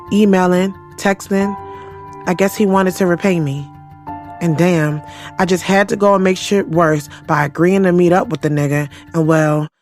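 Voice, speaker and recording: A woman's voice: fairly low pitch, close to an everyday voice. Woman playing a sassy young writer telling her story, blunt n confiding, audio drama, music under it. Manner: frank, profane, confiding. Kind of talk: first-person audio drama narration, conversational storytelling.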